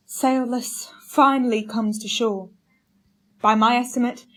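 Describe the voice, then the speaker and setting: Woman playing a slow, solemn starving polar captain. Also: a little high. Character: starving, resolute male polar expedition captain keeping a journal. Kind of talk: dramatic radio play journal narration.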